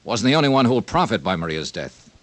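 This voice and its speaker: An American actor playing a hard-boiled, wry and blunt insurance investigator in a dry, clipped radio detective style. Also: male voice, on the low side, very animated, fast, fairly bright tone, no room sound. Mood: accusing, sardonic. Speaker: lead detective and narrator. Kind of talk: 1950s radio detective acting.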